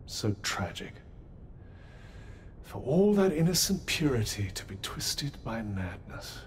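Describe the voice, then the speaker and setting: An actor playing an urbane, haughty magical companion in a video game, formal, wry and teasing. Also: male voice, medium pitch, sing-song, takes it slowly, a little hiss or hum. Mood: dry, teasing. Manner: formal, wry, pompous phrasing. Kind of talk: video game cutscene acting.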